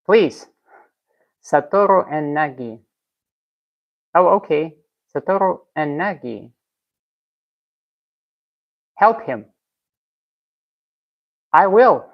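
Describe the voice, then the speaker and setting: A woman's voice: low-pitched, animated, very slow, pauses often. Russian-born woman chatting with her stream. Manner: reads out viewer comments and answers them. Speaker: live streamer. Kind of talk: casual live-stream chat. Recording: slightly dull lo-fi stream audio.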